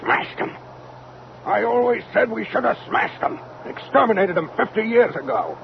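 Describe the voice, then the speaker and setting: An actor playing a hot-headed, impatient army major on a spaceship in an old-time radio drama, barking demands in agitation. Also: male voice, sits high, expressive, normal speed, vocal fry. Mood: furious, frantic. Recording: muffled old radio recording with noise.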